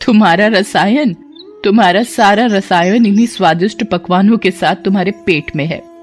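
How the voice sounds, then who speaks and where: Lady doing a clever young village girl in a cartoon, loud and urgent. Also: medium pitch, moderate pace, faint music behind, close to an everyday voice. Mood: defiant. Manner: bright, raised, urgent.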